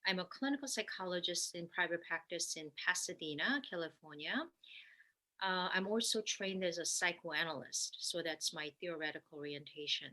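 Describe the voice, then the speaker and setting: A middle-aged woman, a clinical psychologist speaking as a podcast guest, thoughtful, with many "uh" pauses. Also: lowish voice, sharp tone, poor audio. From Korea. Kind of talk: podcast roundtable discussion.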